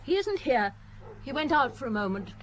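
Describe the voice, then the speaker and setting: An actress playing an imperious, protective bourgeois wife in an audio drama, haughty and indignant. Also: female voice, high-pitched, sing-song, normal speed, slight background noise. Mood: wary.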